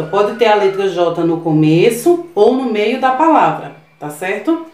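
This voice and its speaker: A woman in her thirties, a preschool teacher with a Brazilian Portuguese accent, talking a class of young children through worksheets patiently and often checking in with them. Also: medium pitch. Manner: patient, repeats "tá certo?" to check in. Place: small classroom with whiteboard, indoors. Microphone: camera across the room. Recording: roomy sound from a distant camera, soft music.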